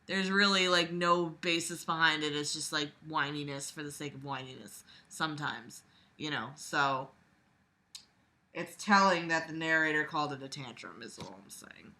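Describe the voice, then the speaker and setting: A woman's voice: low voice, normal speed, sharp tone. A woman reading a book aloud and critiquing it casually, blunt, exasperated and sweary, with sarcastic commentary.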